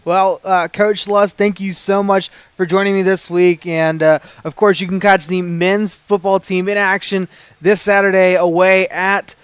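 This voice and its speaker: A young man hosts a college sports show with an upbeat, easy manner. His voice sits high and sounds smooth and clean. The sound is dry, with little room. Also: level tone, unhurried, no room sound. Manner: laughs often, upbeat. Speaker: host of a coaches show. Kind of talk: casual interview.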